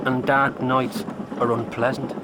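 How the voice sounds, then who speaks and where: A man's voice: mid-range voice, flat delivery, unhurried, lightly acted. An actor playing a wary, challenging working man on a train in a radio drama, speaking tersely. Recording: clear train noise behind the voice.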